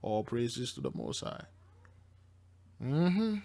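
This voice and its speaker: A man's voice: mid-range voice, sing-song, slow pace, dark tone. A man commenting informally on news and viewer comments from a religious angle, speaking emphatically and repeating himself.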